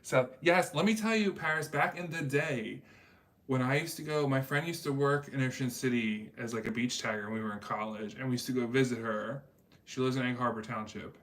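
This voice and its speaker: A man's voice: medium pitch, a little fast, a bit bright. A man hosting a casual livestream chat, rambling in a talkative way with many fillers. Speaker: livestream host reading chat.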